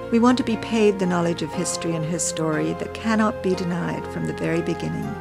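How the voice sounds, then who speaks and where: A woman giving a solemn, deliberate poetic introduction. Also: mid-range voice, creaky.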